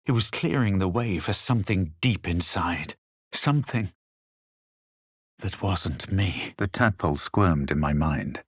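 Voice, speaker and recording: An actor playing a haughty, self-assured young male fantasy adventurer in a video game, speaking in a measured, superior, literary way. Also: deep voice, animated, breathy edge, no room sound, clean studio sound, exaggerated voice. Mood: brooding. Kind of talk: scripted game voice lines, narration and quips.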